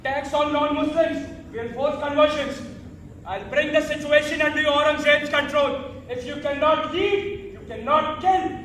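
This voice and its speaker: A man's voice: very high-pitched, normal speed, a bit bright. A man playing a ruthless, pious emperor and domineering father on stage, with an imperious, declamatory delivery. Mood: cold command. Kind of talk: theatrical stage acting. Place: indoor theatre stage, picked up from afar. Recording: distant, echoey theatre hall, clear noise.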